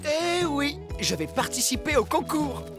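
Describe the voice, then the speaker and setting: A brash, naive young male fox in a cartoon. The voice sits fairly high and swings about in a lively, boastful way, over music. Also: a little slow. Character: cocky, naive male fox posing as pet dog. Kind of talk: animated children's cartoon dubbing.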